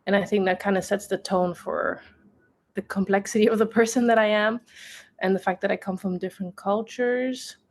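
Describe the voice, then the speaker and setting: A young woman guest who grew up in Tanzania talks through her past in a calm, measured way. Her voice sits in a middle range, and she laughs lightly now and then. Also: mid-range voice. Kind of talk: relaxed conversational storytelling.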